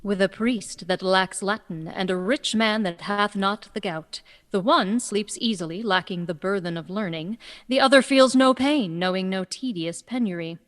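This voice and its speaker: A woman's voice: mid-range voice, moderate pace, a bit bright, long reverb tail, close to an everyday voice. A woman playing a witty, lovestruck young woman disguised as a youth in a Shakespearean radio play, eager and playful. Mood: teasing, witty. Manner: eager, playful, theatrical verse-like delivery.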